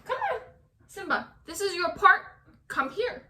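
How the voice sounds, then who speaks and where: A woman author, animated and playfully exasperated, chatting casually and reading her own poem. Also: very high voice, unhurried, slight background noise.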